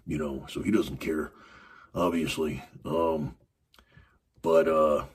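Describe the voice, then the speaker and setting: A man with a raspy, extremely deep voice hosts a podcast on his own. He talks in a loose, rambling way, full of "you know" and "uh". There is a breathy roughness to his tone. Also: fairly even intonation, slow. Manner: rambling, many fillers and restarts. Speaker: podcast host. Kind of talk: casual wrestling commentary monologue.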